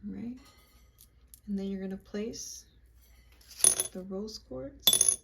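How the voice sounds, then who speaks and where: A young woman casually walking viewers through a love spell, chatty and halting, with frequent ums and likes. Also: medium pitch, vocal fry, heavy background noise. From United States, Puerto Rican and Peruvian family. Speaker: witchcraft vlogger.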